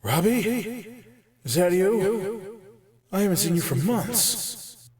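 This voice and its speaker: High-pitched, distraught middle-aged male ghost. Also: lively intonation, slow, a little mellow. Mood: bewildered, weary. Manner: pleading, anguished, rising into screams. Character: distraught mid-forties male ghost of a night-shift porter. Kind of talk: dramatised audio horror story.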